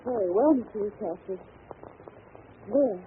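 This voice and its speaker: An actress playing an earnest, anxious young Victorian governess in an old radio drama, polite and breathless, on a tinny, noisy recording. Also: female voice, mid-range voice, lively intonation, measured pace, close to an everyday voice. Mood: meek, pleading. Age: young adult.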